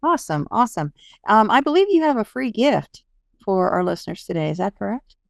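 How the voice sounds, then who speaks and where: A woman's voice: mid-range voice, very animated, a little fast, gravelly, no room sound, studio quality. A woman hosting a podcast interview in casual talk, affirming and appreciative toward her guest. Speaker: podcast host.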